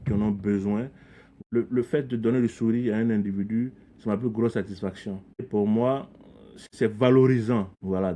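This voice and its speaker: A middle-aged man from Ivory Coast with a low, mellow voice talks through his experiences as a podcast guest. He speaks earnestly and thoughtfully, often repeating words and restarting phrases as he searches for the next thought. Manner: earnest, reflective, frequent repetitions and restarts. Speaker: podcast guest, community leader. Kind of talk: conversational interview.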